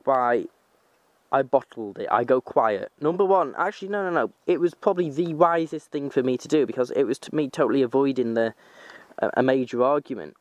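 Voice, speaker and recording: A man's voice: medium pitch, dry recording. A young man from North Wales with a slight Manchester accent, chatting casually in a vlog, rambling and correcting himself as he goes. He is recording outdoors on a handheld camera. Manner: rambling, self-correcting, informal. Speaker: vlogger.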